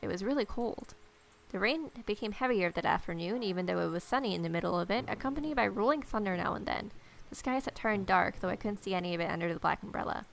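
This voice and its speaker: A woman's voice: mid-range voice, animated, fast, vocal fry, a little hiss or hum, low-quality recording. A woman reading a visual novel aloud, with irreverent, sarcastic asides. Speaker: let's player.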